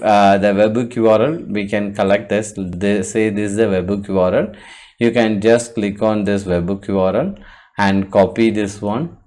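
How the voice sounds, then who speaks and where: A man with a fairly low-pitched voice presents a software tutorial. He talks without a script, explaining each step as he goes. His delivery is halting, and he often doubles back to repeat a word or phrase. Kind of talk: unscripted software walkthrough.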